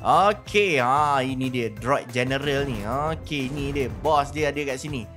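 A man with a Malaysian accent hosting a gaming video, giving excited, theatrical and repetitive role-play commentary on his play. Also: mid-range voice, unhurried, music in the background.

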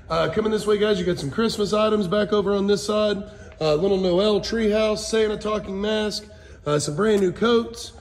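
A man in his forties with a high-pitched voice presents auction goods in a casual, folksy way, running through items as he goes. He is filming on a phone, and his voice rings around a big, echoing warehouse hall. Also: darkish tone. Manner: folksy, addresses viewers as guys. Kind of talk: casual live walkthrough commentary, listing items. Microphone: handheld phone. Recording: echoey warehouse hall reverb.

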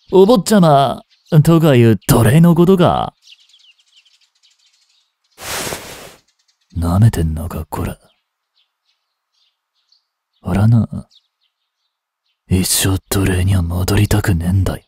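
Man acting a slow-drawling, cocky young street punk. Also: on the low side, expressive, dark tone, slightly rough, no room sound, exaggerated voice. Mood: scornful, defiant. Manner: brash, slangy tough-guy talk, drawling. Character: cocky, rough-tongued young male street delinquent, defiant and teasing. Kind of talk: Japanese audio drama acting, one-sided dialogue to the listener.

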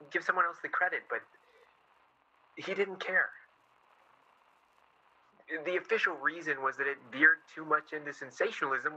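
A man acting an earnest, hopeful journalist in a wartime radio drama, speaking with hesitant pauses. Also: a little high, bright, forceful tone, husky, lo-fi sound, full character voice. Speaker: actor in a radio play. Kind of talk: naturalistic radio drama dialogue.

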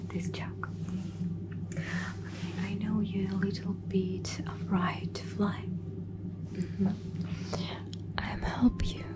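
A woman in her thirties whispering breathily in an ASMR flight attendant roleplay, with halting, hesitant phrasing, close to the microphone. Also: medium pitch, level tone, rough recording.

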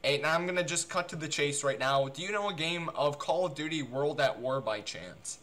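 A man talking casually and excitably as a game streamer, with repetitive, stammering phrasing on a noisy livestream recording. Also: a little high, normal speed. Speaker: game streamer making a prank call.

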